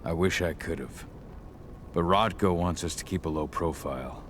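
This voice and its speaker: An actor voicing a gruff werewolf warrior and steady, protective father in a video game, in a calm, measured, gravelly voice. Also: low voice, slight background noise, lightly acted. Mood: steady, reassuring. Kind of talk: video game cutscene acting.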